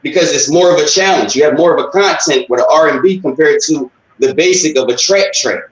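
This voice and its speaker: A man's voice: a little high, brisk. Man in his thirties, echoey sound. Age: 30s. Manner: slangy, plain-spoken. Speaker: interview guest, music artist. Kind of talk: casual chat.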